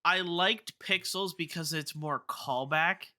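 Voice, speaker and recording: A man talks casually as a podcast co-host, in a somewhat high, very bright voice with a dry, close sound. He thinks aloud, often hesitating and repeating his opening words. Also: takes it slowly, no room sound. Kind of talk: casual chat, debating video game movies.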